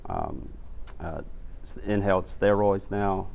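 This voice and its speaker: A man speaking as a pharmacist guest, earnest and halting, with frequent ums and uhs. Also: low-pitched, gravelly, darkish tone, a little hiss or hum. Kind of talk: guest interview answers.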